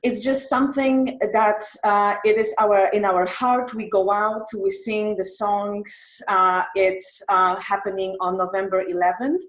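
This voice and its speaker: Polish accent lady doing an off the cuff speech, kinda halting, echoey room sound. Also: mid-range voice, fairly even intonation. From Poland. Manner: halting, hesitant. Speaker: club member giving an impromptu speech. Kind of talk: impromptu public speaking.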